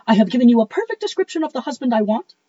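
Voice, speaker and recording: A woman's voice: fairly high pitch, sing-song, rapid-fire, dark tone, breathy edge, highs cut off. A woman playing a haughty, self-important widow in a comedic play reading, speaking in a grand, emphatic, theatrical way. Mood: firm, dramatic.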